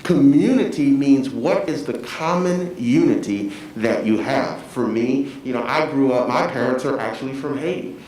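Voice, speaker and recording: Animated, emphatic man in a very echoey room. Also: medium pitch, moderate pace, hardly any pauses. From Georgia-born, raised in Miami, Florida. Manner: emphatic, rhetorical repetition. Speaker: town hall panelist. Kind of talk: motivational panel speaking. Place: echoey room at a town hall forum. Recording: very echoey room, distant mic, faint noise.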